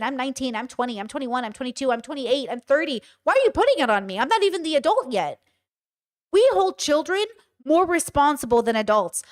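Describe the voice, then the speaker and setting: A woman in her thirties with a fairly high voice, holding forth to a live chat. She speaks bluntly and swears freely, and she keeps throwing questions back at her audience. Her commentary is unscripted and conversational. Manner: candid, profane, talks to her audience with rhetorical questions. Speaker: streamer. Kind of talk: livestream commentary, reading chat.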